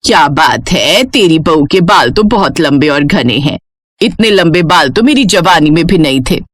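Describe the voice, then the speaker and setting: A woman voicing an admiring older village auntie in a storybook tale, gushing in a drawn-out, chatty way. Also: deep voice, animated, dry recording, clean studio sound, pitched below the performer's own voice. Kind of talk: Hindi moral storybook character voice.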